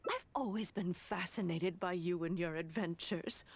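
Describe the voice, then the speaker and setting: A woman's voice: fairly low pitch, moderate pace, husky. A woman playing an eager, polite businesswoman in a video game, deferential and effusive in manner. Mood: flustered, admiring. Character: eager, polite businesswoman, easily overexcited admirer.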